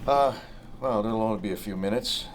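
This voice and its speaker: An actor at a script table read playing a guarded, middle-aged car wash owner, terse and understated. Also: male voice, lowish voice, brisk, close to an everyday voice. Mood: polite. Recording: noisy room, ambient hum.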